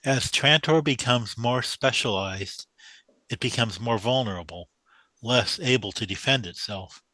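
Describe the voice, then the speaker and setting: A man's voice: mid-range voice, a little slow, sharp tone, no echo, low-quality recording. An actor playing an earnest, humble young mathematician who speaks deferentially to his mentor in a radio drama. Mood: careful reasoning.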